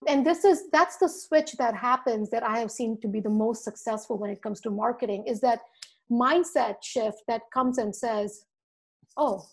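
Earnest woman podcast guest, high voice. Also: moderate pace. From India, raised in the U.S. Virgin Islands. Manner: earnest, speaks from the heart, acts out quoted dialogue. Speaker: podcast guest, dental marketing coach. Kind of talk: casual podcast conversation.

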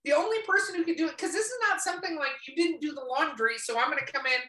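A woman's voice comes from a distance in an echoey room, bright and fairly high. She co-hosts casually, speaking bluntly and repeating words for emphasis. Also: racing. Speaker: podcast co-host. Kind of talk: casual chat. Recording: roomy echo, distant from mic.

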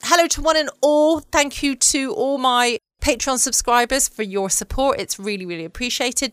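A woman with a southern English accent hosting a relaxed, chatty bedtime podcast. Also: a little high, no room sound, clean studio sound. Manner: informal, chatty. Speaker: podcast host. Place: home.

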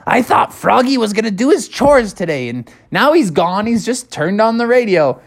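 A woman's voice: medium pitch, very animated, moderate pace, pitched above the performer's own voice. A woman voicing a fussy yet easily delighted mother frog in a chatty, exclamatory way. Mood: puzzled, mildly annoyed.

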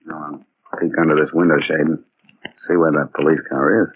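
An actor playing a curt, bossy, husky-voiced gangster on the run, in 1940s radio drama style. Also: male voice, rumbling low, little pitch movement, a little fast. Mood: commanding. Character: domineering gangster on the run, impatient and shifty. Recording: tinny, band-limited 1940s radio recording.

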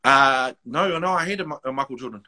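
A man from Wellington with a New Zealand accent, telling stories in casual podcast chat, animated and full of restarts. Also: mid-range voice, fairly quick. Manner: animated storytelling, many restarts, slips into quoted voices. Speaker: guest, rapper and basketball MC.

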